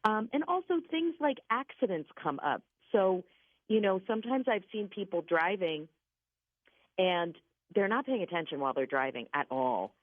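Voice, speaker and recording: A woman's voice: medium pitch, sing-song, normal speed, no echo. A woman giving conversational psychic readings as a guest on a radio call-in show, speaking emphatically and reassuringly and repeating key points. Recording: narrow phone-like line.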